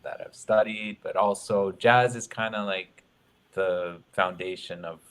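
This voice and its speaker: Bright-toned, thoughtful young man. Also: deep voice, steady tone, a little slow. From Ottawa, Canada. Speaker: drummer, guest. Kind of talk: casual interview chat.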